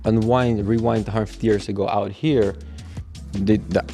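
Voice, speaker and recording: A man's voice: on the low side, steady tone, moderate pace, warm tone, soft background music. A young adult man from Russia, a native Russian speaker, telling candid stories in a casual podcast conversation. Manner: reflective, candid storytelling. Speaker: guest, catering business owner.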